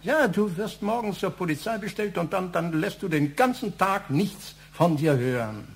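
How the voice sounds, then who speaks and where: A man's voice: sits high, animated, moderate pace, gravelly, husky, heavily acted. An actor playing a stern, reproachful older father of the family in a German radio crime drama, over some hum.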